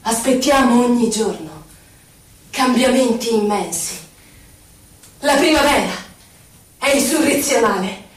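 An actress playing a solemn poetic narrator, reciting in measured, declamatory, weighted phrases for a radio drama. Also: female voice, on the high side, animated. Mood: solemn, rousing. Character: solemn female poetic narrator of political betrayal and struggle. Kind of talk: theatrical poetry recitation for radio. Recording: echoey, distant, noticeable noise.

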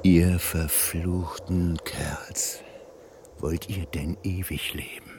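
An actor playing a ruthless warlord king in a radio play, commanding his soldiers in drawn-out words. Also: deep voice, fairly even intonation, background noise. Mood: furious, scornful.

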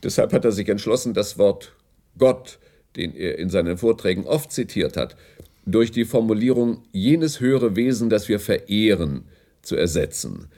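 An actor playing a middle-aged broadcasting director in a radio play, formal and measured, with patronising authority. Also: male voice, lowish voice, lively intonation, warm tone. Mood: patronising, official. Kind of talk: German radio play dialogue.

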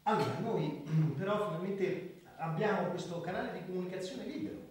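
A man in an Italian radio drama playing a proud, embattled doctor, argumentative and halting, with muttered asides. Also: high-pitched, expressive, darkish tone. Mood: apologetic, weary. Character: embattled male doctor, indignant, proud, naively trusting idealist. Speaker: radio play actor. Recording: distant, very echoey, faint noise.

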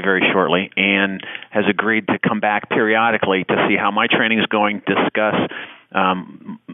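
Podcast host guy, casual n a bit halting, lowish voice, tinny dry phone sound. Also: dry recording. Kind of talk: casual interview.

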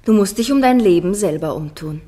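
A humble young page voiced by a woman speaks formal verse in a classical radio play. The voice sits at a middle pitch, gentle and earnest in delivery. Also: animated, brisk, natural voice. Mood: humble, troubled.

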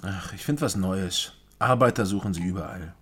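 A man's voice: sits low, moderate pace, fairly bright tone, breathy edge. An actor playing a laconic East German dropout detective in his twenties, dry and understated, in a radio crime drama. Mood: wry, skeptical. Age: 20s.

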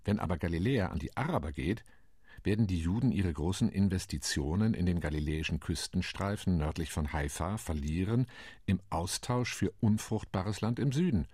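An actor playing a calm, tactical delegate on a partition committee, speaking in a radio play monologue. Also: male voice, low voice, normal speed, breathy edge, no room sound, studio quality. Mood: measured.

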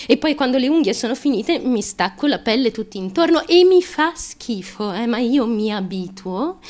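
A very high, girlish voice of a naive, unhinged young woman played by a stage actress. She delivers a dramatic confession in halting, obsessive repetitions, with a ferocious seriousness that is always close to breaking. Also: a little mellow, close to an everyday voice. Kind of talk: dramatic stream-of-consciousness monologue.

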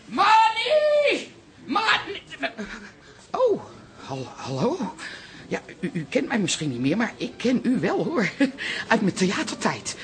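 A woman's voice: fairly high pitch, huge pitch swings, slow pace, full character voice. A woman acting in a radio drama as a frantic, pushy, theatrical aunt who repeats herself.

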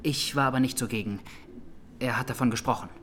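An actor playing a reserved young trial witness in a radio drama, answering tersely and matter-of-factly. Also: male voice, medium pitch, fairly even intonation, fast talker, a bit bright, slight background noise, close to an everyday voice. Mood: reserved, factual. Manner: terse, careful, matter-of-fact. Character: Armenian consulate secretary of twenty-three, reserved trial witness.

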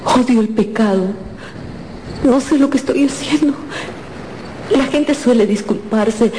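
A woman's voice: fairly high pitch, noisy background, lightly acted. Anguished young married woman played by an actress, radio drama. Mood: pleading, tearful. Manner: emotional, pleading, halting. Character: anguished, guilt-ridden young married woman, timid and sincere. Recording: clear music behind. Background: music.

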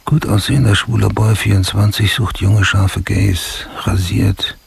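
Gravelly, low-voiced, brooding detective. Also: male voice, steady tone, measured pace, no echo, heavily acted. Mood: flat, puzzled. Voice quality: low, gravelly, often murmured. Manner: terse, brooding, muttering to himself. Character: weary, brooding male homicide detective sergeant in Los Angeles. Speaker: actor. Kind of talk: noir radio drama, clipped first-person monologue.

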